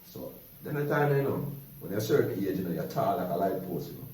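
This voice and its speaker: A Jamaican man tells stories in a lively, laughing way, his voice sitting low and deep. He is caught from some distance away, so the sound is very roomy and echoey, with a faint hiss underneath. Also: quick pace. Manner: lively, laughing, animated storytelling in patois. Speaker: guest storyteller. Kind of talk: casual storytelling chat.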